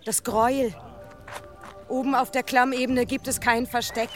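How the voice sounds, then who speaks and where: An actress playing a skeptical, fearful village wife in a German radio drama, cautionary and objecting. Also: female voice, a little high, little pitch movement, normal speed, soft background music, lightly acted. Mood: wary warning.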